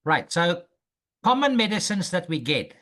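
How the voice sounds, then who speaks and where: A man teaching an English lesson, speaking slowly and patiently and repeating phrases for a student to copy. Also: high voice, sing-song, bright, forceful tone. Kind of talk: language lesson drills.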